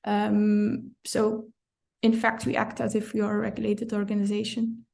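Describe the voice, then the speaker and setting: Gravelly-voiced woman from Belgium. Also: medium pitch, monotone, normal speed, a little mellow. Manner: thoughtful, self-correcting, light laughs. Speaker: compliance director, guest. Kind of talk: conversational interview.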